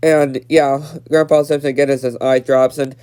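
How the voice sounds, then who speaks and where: A man's voice: medium pitch, level tone, a little slow, dark tone, smooth. A nineteen-year-old man with a stuffed-up nose, casually recapping a cartoon episode and repeating himself with lots of fillers. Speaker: episode reviewer.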